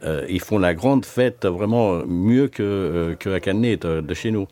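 Deep-voiced man. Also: mellow. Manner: halting, many fillers. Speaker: guest. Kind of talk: casual chat.

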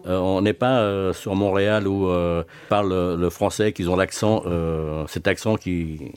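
Guest, deep male voice, halting and filler-heavy. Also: fairly even intonation, a little mellow, clean tone. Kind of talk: casual chat.

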